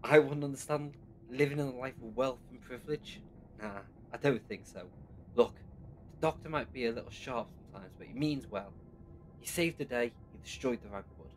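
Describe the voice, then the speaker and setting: A man's voice: medium pitch, fairly quick, natural voice, low-quality recording. A man playing a sarcastic but loyal young companion in an audio drama, with a casual manner. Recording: clear background noise.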